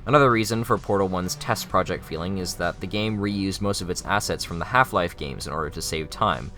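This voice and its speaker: Low-voiced young man narrating. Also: brisk, bright, forceful tone, faint music behind. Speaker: video essay narrator. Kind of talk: scripted narration.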